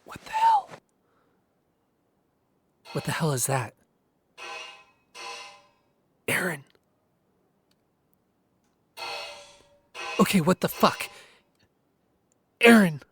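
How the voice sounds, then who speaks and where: A man's voice: high-pitched, wildly swooping pitch, slow pace, heavily acted. A man playing an uneasy young man in an audio drama, anxious and questioning. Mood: startled, alarmed. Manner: questioning, anxious, casual profanity. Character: uneasy young man, Greg, unsettled by strange noises. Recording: music under the voice.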